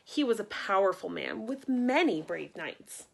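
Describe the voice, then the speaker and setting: A woman reading a picture book aloud for children in an expressive, deliberate way, acting out the story. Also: medium pitch, creaky, slight background noise. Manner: animated, deliberate, acts out sound effects. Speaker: storybook reader.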